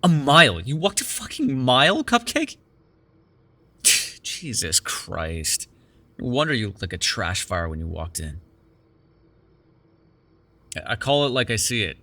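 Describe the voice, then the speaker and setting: A man's voice: medium pitch, bright, forceful tone, heavily acted. A man playing a sardonic but caring captive vampire in an immersive ASMR roleplay, talking in a wry, teasing, profane conversational way. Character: sardonic, caring immortal male vampire held captive in cuffs.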